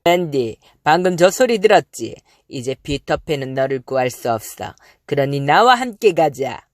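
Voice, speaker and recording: Casting: menacing storybook pirate captain played by a woman, lowered pitch, gloating, theatrical, drawn-out delivery, dry recording. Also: very animated, no echo.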